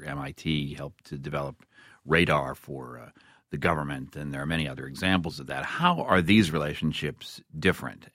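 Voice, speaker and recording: A man's voice: rumbling low, fairly bright tone, dry recording, studio quality. A man hosting a news interview, introducing his guest and putting questions to him. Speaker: interview host.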